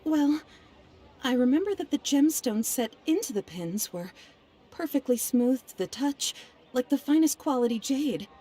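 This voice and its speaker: A woman's voice: high voice, sing-song, unhurried, mellow. A woman playing an earnest, refined young woman in a video game, speaking politely and formally. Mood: composed, earnest. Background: game music.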